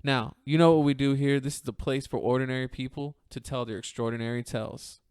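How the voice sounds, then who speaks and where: A man's voice: mid-range voice, fairly even intonation, brisk, a little mellow, clear, resonant tone. A man hosting a podcast, interviewing his guest in a friendly, encouraging way. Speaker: podcast host.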